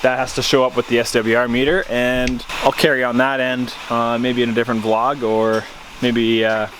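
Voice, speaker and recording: A man's voice: medium pitch, level tone, moderate pace, fairly bright tone, slight background noise. A man talking informally in a casual DIY vlog, thinking aloud as he works inside a vehicle. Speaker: vlogger. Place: vehicle cabin.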